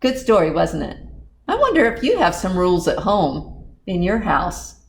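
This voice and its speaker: A woman's voice: fairly low pitch, wildly swooping pitch, normal speed, a little hiss or hum. A woman hosting a storytime for young children, talking playfully and directly to them as a library presenter. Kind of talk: children's storytime reading and chat.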